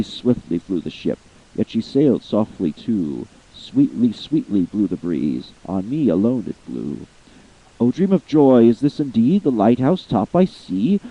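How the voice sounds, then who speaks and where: A man giving a slow, theatrical reading of a long narrative poem, swelling with feeling at the high points. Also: very animated, dark tone, breathy edge, lo-fi sound. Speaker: amateur voice actor reading poetry. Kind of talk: dramatic poetry reading.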